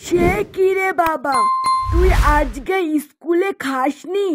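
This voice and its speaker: A woman voicing a meek, caring older mother in a cartoon, hesitant and gentle in manner. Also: very high-pitched, slow pace, over loud music. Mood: concerned, motherly. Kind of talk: cartoon dubbing.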